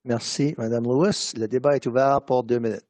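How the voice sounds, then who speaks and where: Brisk male debate moderator, dry sound. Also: mid-range voice, fairly even intonation, darkish tone, no room sound. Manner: brisk procedural announcements. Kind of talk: formal moderating.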